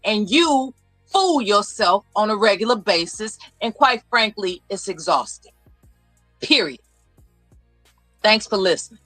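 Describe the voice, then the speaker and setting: A woman's voice: medium pitch, expressive, measured pace, pauses often, fairly bright tone, no echo, soft background music, poor audio. A woman debating on a live panel, firm and commanding as she presses her points.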